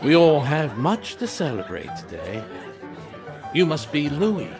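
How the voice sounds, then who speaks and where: An actor playing a genial, ceremonious middle-aged merchant hosting guests in an audio drama, with music underneath. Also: male voice, fairly high pitch, huge pitch swings, measured pace, creaky, heavily acted. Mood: jovial, welcoming. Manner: hearty, ceremonious, chuckling. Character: jovial, genial wealthy merchant host, proud Monsieur Morel.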